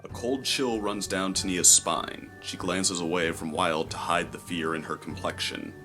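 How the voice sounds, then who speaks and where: Deep-voiced man narrating over music. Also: steady tone, a little slow. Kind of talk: scripted dramatic story narration.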